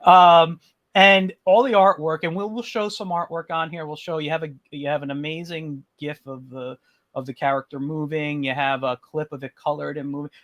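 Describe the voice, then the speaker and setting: A man hosts an interview in a casual chat. He rambles in a friendly way and pokes fun at himself. His voice sits slightly high for a man. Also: steady tone, normal speed, a bit bright. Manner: friendly, rambling, self-deprecating. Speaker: interview host.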